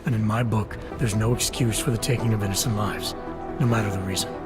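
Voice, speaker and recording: A man voicing a gruff augmented agent, American accent, low voice, guarded and curt, music behind. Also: steady tone, fast talker, lightly acted. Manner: terse, guarded, deflecting. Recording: game cutscene with loud music.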